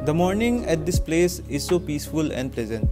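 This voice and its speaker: A man's voice: fairly high pitch, big pitch swings, normal speed. A man with an Indian accent reading scripted travel narration as a vlogger. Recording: loud music under voice-over. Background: loud music.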